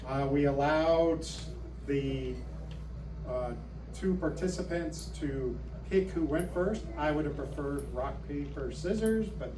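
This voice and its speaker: A man giving a casual report at a club meeting, halting with many ums and restarts, recorded far off in an echoey room. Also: on the high side, noisy background. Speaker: meeting presenter. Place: meeting hall.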